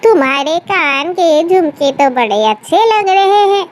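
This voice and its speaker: A woman's voice: very high-pitched, lively intonation, measured pace, pitched above the performer's own voice. A woman voicing a scheming, meddling middle-aged auntie in a cartoon moral story, gossipy and insinuating, with music behind. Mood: sly.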